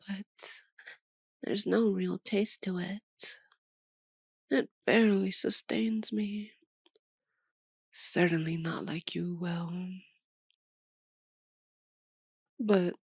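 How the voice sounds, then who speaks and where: A woman's voice: lowish voice, no echo, studio quality. A woman voicing a centuries-old, sadistic female vampire in an ASMR roleplay, with a theatrical, condescending and menacing delivery. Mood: cold, predatory. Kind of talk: ASMR roleplay, dramatic monologue.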